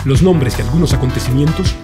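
Radio announcer: Colombian man, medium-pitched, scripted intro, clear guitar bed. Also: fast talker. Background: guitar music.

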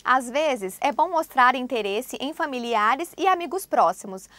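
A woman presenting a language course in a studio, reading the lesson script clearly and steadily. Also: high voice, lively intonation, fairly bright tone, dry recording. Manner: clear, measured prompting of phrases.

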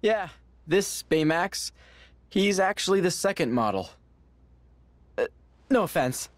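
Game hero: brainy teenage boy, sincere, emotional, higher male register, dry recording. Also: very animated, slow, dry recording, heavily acted. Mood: hopeful. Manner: earnest, emotional. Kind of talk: video game voice acting.

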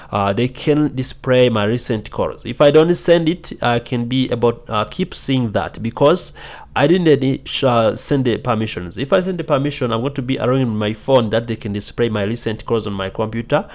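A man giving a screen-recorded software walkthrough as a tech tutorial host, talking in a halting way with many fillers and repeated phrases. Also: medium pitch.

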